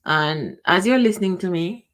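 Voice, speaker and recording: A middle-aged Jamaican woman speaks slowly and personally on her own podcast. Her voice sits a little low for a woman. She often stops, restarts and lets sentences fade as the emotion weighs on her. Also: animated, fairly bright tone. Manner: emotional, halting, with restarts and trailing off. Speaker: podcast host. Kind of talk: personal reflective monologue.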